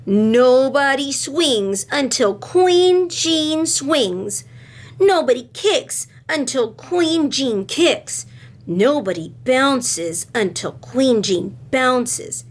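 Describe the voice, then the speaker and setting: A woman's voice: sits high, animated, extremely slow, heavily acted. A woman reading a storybook, voicing a mean, bossy young schoolgirl bully who snarls and threatens. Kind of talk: children's storybook character voice.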